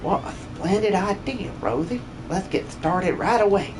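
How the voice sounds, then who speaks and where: A man voicing a refined, formal villager with genteel, measured politeness in a hobby game dub. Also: sits high, lively intonation, noisy background. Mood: thoughtful, pleased. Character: prim, refined aristocratic villager, politely enthusiastic.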